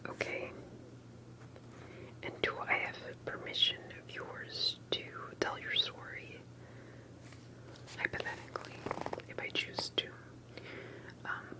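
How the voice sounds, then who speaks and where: Someone whispering softly in an ASMR roleplay as an earnest, cautious researcher interviewing with deliberate, halting questions. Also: poor audio. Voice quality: soft breathy whisper. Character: earnest, cautious alien abduction researcher and survivor. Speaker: ASMR performer playing an interviewer.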